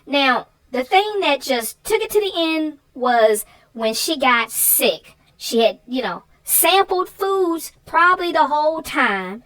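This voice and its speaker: A woman casually discussing a children's story, animated and walking through points one by one. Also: high voice, takes it slowly, low-quality recording. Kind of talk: casual chat about a children's book.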